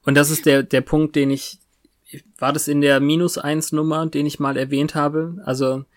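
A podcast co-host chatting casually about a TV series in a halting, spontaneous way. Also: medium pitch, little pitch movement.

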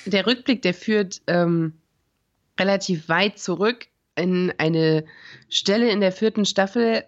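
A woman co-hosting a podcast, chatting playfully and giggling as she recaps a TV episode. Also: on the low side. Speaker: podcast co-host. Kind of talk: casual TV-episode recap chat. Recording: dull lo-fi sound.

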